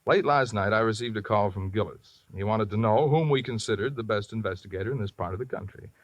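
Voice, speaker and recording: A man's voice: fairly low pitch, quick pace, dry recording, dull audio, close to an everyday voice. An actor playing an earnest, harried male insurance company manager in an old radio drama, pleading and exasperated. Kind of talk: 1950s radio drama acting.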